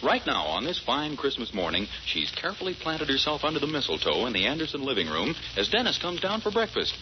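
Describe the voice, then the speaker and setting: A man's voice: mid-range voice, huge pitch swings, quick pace, background noise. A man announcing and narrating a scripted 1940s radio comedy show. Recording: muffled 1940s radio recording, loud music.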